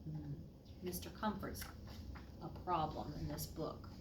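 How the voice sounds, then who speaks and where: A woman teacher reading a children's picture book aloud in an expressive way, with asides to young listeners. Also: low voice, a little slow. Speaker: fourth grade teacher. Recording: roomy, distant mic, faint noise.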